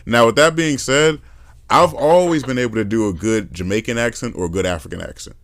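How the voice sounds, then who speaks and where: A man's voice: medium pitch, a little fast, dry recording. A man hosting a podcast, chatting casually and acting out accents as he rambles through his ideas. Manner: lively, acts out accents, rambling. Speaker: podcast host. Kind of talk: casual chat.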